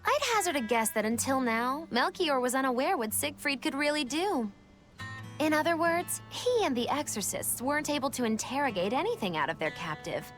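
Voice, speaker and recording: An actress playing a sly, clever, teasing know-it-all in a video game, theatrical and knowing, with music underneath. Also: female voice, sits high, animated, moderate pace, fairly bright tone. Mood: musing, teasing. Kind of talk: English video game dub acting.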